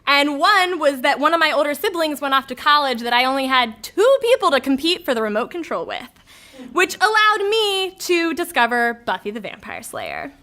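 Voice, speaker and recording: A woman in her thirties with a high, bright voice performs a comic personal confession. She is candid and wry, delivering it as a storyteller and slipping into the lines she quotes. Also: big pitch swings, normal speed.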